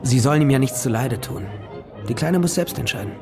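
An actor playing a glib, streetwise private detective in radio drama dialogue, brisk, casual and probing. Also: male voice, mid-range voice, lively intonation, vocal fry, over music.

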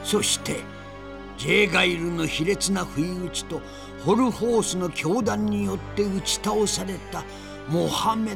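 Grave, creaky old narrator. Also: male voice, sits high. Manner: grave, storytelling. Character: old man narrating his companions' fate. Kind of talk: dramatic scripted narration. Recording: loud music behind.

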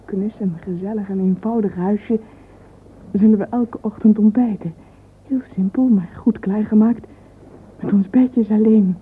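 Actress as dreamy young romantic, tinny old recording. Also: female voice, medium pitch, natural voice. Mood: romantic yearning. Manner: intimate, wistful, often breathy and half-whispered. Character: dreamy, vain young romantic woman, yearning and sentimental. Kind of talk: radio drama interior monologue. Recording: narrow phone-like old radio recording, faint hiss.

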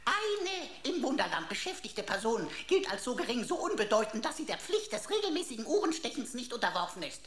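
An actress in a German radio play playing a pompous, sarcastic pocket computer with florid, mock-formal, theatrical delivery. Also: female voice, on the high side, very animated, a little fast, hardly any pauses, reverberant, a crowd in the background. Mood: pompous, triumphant. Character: pompous, emotional talking pocket computer, a sarcastic sleuth sidekick.

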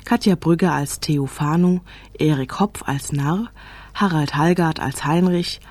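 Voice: mid-range voice, a little slow, a little mellow, no echo. An announcer reading the cast credits of a radio play in a scripted style.

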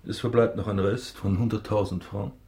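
An actor in a German radio drama playing a pompous, self-pitying banker baron, formal and theatrical. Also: male voice, lowish voice, fairly even intonation, moderate pace, warm tone, lightly acted. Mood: uneasy.

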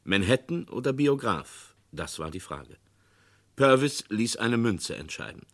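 A man giving measured, dramatic narration of a radio crime play. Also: fairly low pitch, sharp tone.

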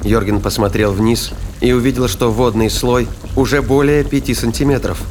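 A man's voice: medium pitch, steady tone, normal speed, darkish tone, a little hiss or hum. A man narrating a sci-fi thriller audio drama in a scripted storytelling style. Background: music and sound effects.

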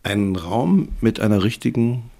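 A man's voice: fairly low pitch, lively intonation, normal speed, gravelly, dark tone. An older man recalling his life in a reflective, conversational way, correcting himself as he goes. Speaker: writer recalling his youth. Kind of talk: reflective spoken recollection.

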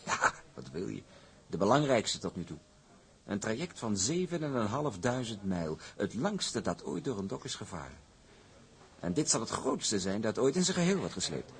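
A confident, calculating shipping boss in a radio drama. His low voice rises and falls in wide swings. He sounds self-satisfied, emphatic and deliberate. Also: very animated, fairly bright tone. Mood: proud. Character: smug, calculating shipping magnate, confident and scheming.

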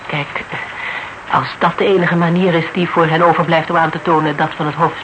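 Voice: mid-range voice, little pitch movement, a little fast, natural voice. An actor in a radio play playing a formal, deferential yet probing legal adviser who speaks carefully and with hesitations. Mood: politely insistent. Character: polite, probing legal adviser, tactfully insistent. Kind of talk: Dutch radio drama acting, dialogue. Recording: noisy old radio broadcast recording.

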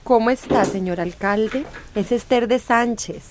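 An actress playing an insistent, defiant community activist in a radio drama, firm and confrontational. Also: female voice, a little high, measured pace, noisy background, close to an everyday voice.

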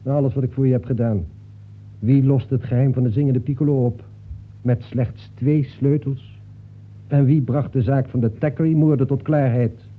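An actor in a Dutch radio play, playing a talkative, breezy detective from a novel who nags in a familiar way. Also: male voice, mid-range voice, steady tone, moderate pace, lightly acted. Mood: breezy, reproachful. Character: talkative fictional detective come to life, chummy, reproachful. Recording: muffled phone-like old radio recording.

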